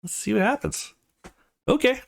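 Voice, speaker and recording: A man's voice: extremely high pitch, expressive, normal speed, creaky. A man hosting a let's play, giving casual commentary on a visual novel.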